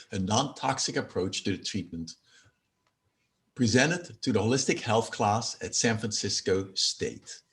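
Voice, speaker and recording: A man, a professor, introducing a guest speaker to his class with some restarts. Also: medium pitch. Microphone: video call.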